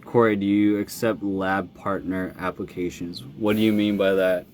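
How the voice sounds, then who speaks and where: A man in his thirties chatting casually on a phone live stream, reading fan comments and answering in a relaxed way, with plenty of fillers and laughs. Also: sits low, moderate pace, slight background noise. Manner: laid-back, lots of fillers and laughs. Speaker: musician streaming live with fans.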